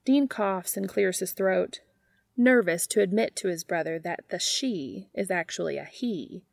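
Very creaky woman narrating a story. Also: on the low side, measured pace, no echo, studio quality. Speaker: fan fiction reader.

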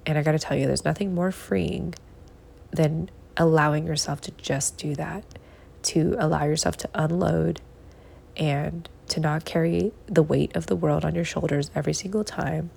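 A woman hosting a solo podcast from home, giving encouraging, conversational tips in a hushed, early-morning voice. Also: sits low, steady tone, normal speed, vocal fry, husky. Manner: encouraging, conversational, repeats phrases for emphasis. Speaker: podcast host. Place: living room at home.

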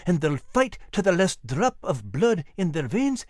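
Lilting, high-pitched old Scottish sergeant. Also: male voice, very animated, a little slow, no room sound, clean studio sound. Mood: grave warning. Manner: folksy, old-fashioned soldierly phrasing.

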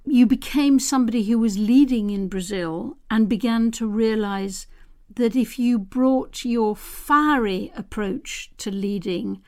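Woman host, chatty, a bit higher voice, cuts herself off mid question. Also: measured pace, darkish tone. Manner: chatty, self-interrupting questions. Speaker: podcast host. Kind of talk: interview.

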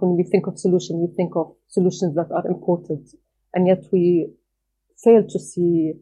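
Mellow, husky woman, thoughtful pace. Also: lowish voice, rough recording. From Qatar. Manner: thoughtful, frequent "let's say" fillers. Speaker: guest, community organizer. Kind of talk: conversational interview answers.

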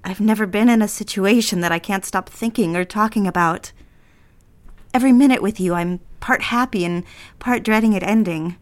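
An actress playing a conflicted, lovestruck young bride-to-be in a romantic period audio drama, speaking earnestly in measured, formal phrases. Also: female voice, mid-range voice, lightly acted. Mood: tender, yearning.

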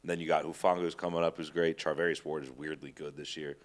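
A young man co-hosting a sports podcast, talking in a rambling, slangy, animated way. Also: extremely low pitch, quick pace. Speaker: podcast co-host. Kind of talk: casual sports chat.

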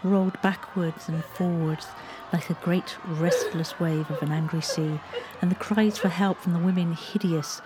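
A woman's voice: on the low side, fairly even intonation, unhurried, background noise. A woman reading scripted historical narration as a storyteller.

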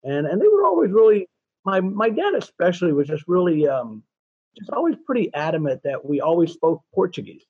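Chatty older guy in his 60s, bit high voice, kinda dull muffled recording. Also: big pitch swings, brisk, husky, dry recording. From California, USA. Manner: chatty, self-deprecating, many restarts. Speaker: guest. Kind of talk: casual interview chat.

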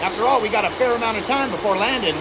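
High-voiced folksy pilot, roaring engine. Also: male voice, rapid-fire. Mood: hearty, calling out. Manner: informal, upbeat, calling out over engine noise. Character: folksy, easygoing 1920s airplane test pilot, man. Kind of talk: period audio drama acting. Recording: loud aircraft engine noise throughout. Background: airplane engine.

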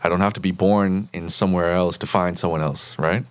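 A man from the Bahamas with a very low voice, talking as a therapist guest in an interview. He explains his points in a measured, patient way and keeps tagging them with "right?". The sound is close and dry, with little room echo. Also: fairly even intonation.

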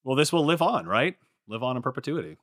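A young man co-hosting a podcast, telling stories in a casual, animated way with lots of filler words. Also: mid-range voice, fast, no echo, studio quality. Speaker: podcast co-host. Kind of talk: casual chat.

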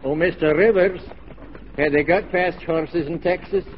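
An actor playing a cheerful, cheeky constable in 1940s radio drama style. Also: male voice, a little high, unhurried, lightly acted. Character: cheerful, cheeky male constable tagging along. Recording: muffled, noisy 1940s radio recording.

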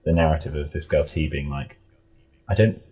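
A man chatting casually as an interview guest, in a rambling, halting way that often doubles back on itself. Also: very deep voice, warm tone, breathy edge, highs cut off. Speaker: audio drama creator, interview guest.

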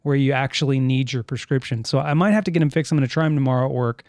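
A man's voice: medium pitch, very fast, a little mellow, dry recording. Chatty man hosting a podcast, dry sound. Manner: rambling, self-correcting, reads chat comments aloud. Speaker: podcast host. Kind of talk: casual live podcast chat. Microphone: studio mic with headphones.

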